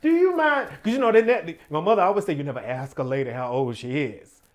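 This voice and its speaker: Man, talk show host, very animated lively pitch, effusive and playful. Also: fast talker. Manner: effusive, playful, calls guest "baby". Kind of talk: casual interview chat.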